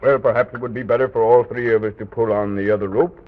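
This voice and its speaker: A man's voice: medium pitch, steady tone, lightly acted. Man playing a courteous knightly guide, tinny vintage radio. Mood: patient. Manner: formal, measured, explanatory. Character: courteous armored man, patient guide to a make-believe castle. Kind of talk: 1920s-30s children's radio serial acting. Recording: tinny old radio recording.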